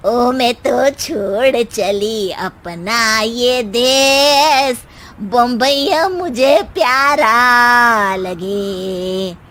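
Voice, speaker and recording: Woman acting a brash, high-pitched mother-in-law. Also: fairly bright tone. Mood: exuberant singing. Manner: theatrical, brash, breaks into drawn-out singing. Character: boastful, starstruck mother-in-law dreaming of TV fame. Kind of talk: comic Hindi family story acting.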